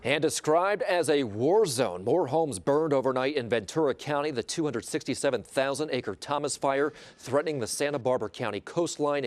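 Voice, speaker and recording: A man with an American accent reading scripted news as a television anchor. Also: mid-range voice.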